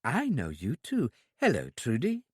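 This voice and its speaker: A reader voicing a kind, polite young woman who speaks gently and softly. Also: extremely low pitch, wildly swooping pitch, unhurried, husky, dry recording, clean studio sound, exaggerated voice. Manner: gentle, polite, soft-spoken.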